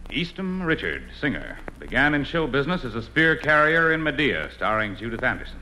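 A man with a medium-pitched voice reads scripted 1950s radio comedy. Clear noise runs through the vintage broadcast. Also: big pitch swings, a little fast, sharp tone, rough recording. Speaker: singer.

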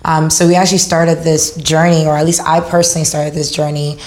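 A woman's voice: low voice, little pitch movement, brisk, darkish tone. A woman speaking conversationally in an interview as a startup founder, explaining her work. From Haiti.

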